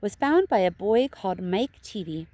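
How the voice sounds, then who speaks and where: Storybook role: mild-mannered dad, read by a woman, reasonable, with varied and lively intonation. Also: mid-range voice, normal speed, no echo, lightly acted. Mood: interested. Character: mild, reasonable father reading the newspaper aloud. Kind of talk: children's storybook read-aloud.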